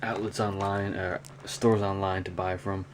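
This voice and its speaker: Low-voiced man rambling casually. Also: flat delivery. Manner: rambling, halting delivery. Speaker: hobby vlogger, cassette collector. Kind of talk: casual unscripted show-and-tell vlog. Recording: clear background noise.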